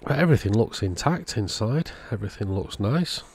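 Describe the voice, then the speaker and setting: A man with a deep, gravelly voice talks through a repair at his home workbench. He thinks aloud in a calm, methodical way, and his tone has a slightly husky edge. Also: fairly quick. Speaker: electronics repair video host. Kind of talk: casual narrated repair walkthrough.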